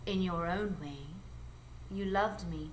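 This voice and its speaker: A woman playing an alluring, ageless, mysterious adventuress in a live radio drama, speaking in a measured, coy, theatrical way. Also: on the low side. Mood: poised, confiding. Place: live performance space before an audience. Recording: noisy live stage recording.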